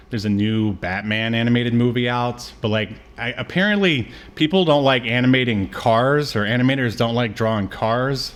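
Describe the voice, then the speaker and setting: A man's voice: lowish voice, a bit bright. A man co-hosting a podcast, chatting casually about pop culture with hesitant, rambling phrasing and trailing asides. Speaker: podcast co-host.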